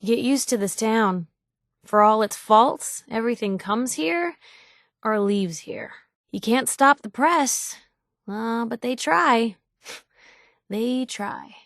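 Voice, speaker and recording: A woman's voice: fairly high pitch, expressive, slow pace, a bit bright, no echo, studio quality, heavily acted. A woman voicing a sardonic, outspoken young newspaper reporter companion in a video game, in a wry conversational way. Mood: earnest, wry.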